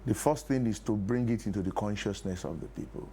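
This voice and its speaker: Mellow Nigerian man, emphatic. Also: lowish voice, brisk. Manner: emphatic, repeats key phrases. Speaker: stage play producer, interview guest. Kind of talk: TV interview, explanatory talk. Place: TV studio talk show. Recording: clear background noise.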